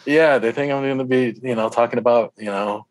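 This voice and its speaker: A man giving casual, reflective interview answers as a musician, in a halting, rambling way. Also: mid-range voice, no echo, low-quality recording.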